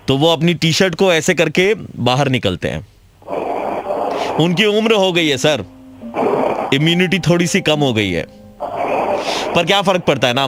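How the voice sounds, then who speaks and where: A man playing a polite caller from a local councillor's office, speaking in a formal, measured, earnest way. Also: fairly high pitch, lightly acted.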